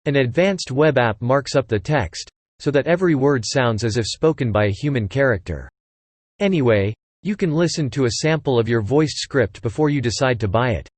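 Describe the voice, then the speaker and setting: Male American promo narrator, synthetic AI voice, mid pitch, very dry recording. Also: animated, normal speed, dry recording. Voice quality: synthetic text-to-speech voice. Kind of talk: scripted promotional dialogue.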